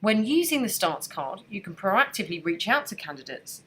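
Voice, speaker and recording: A woman narrating a scripted software training screencast in a clear, even instructional manner. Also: medium pitch, moderate pace.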